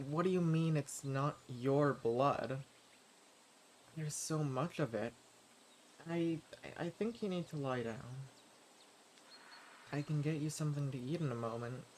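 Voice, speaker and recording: A man's voice: a little high, measured pace, long pauses, a little mellow, slight background noise, natural voice. Man acting a mild wandering adventurer, birdsong behind. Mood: worried. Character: mild, level-headed wandering male adventurer. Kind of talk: audio roleplay acting addressed to listener.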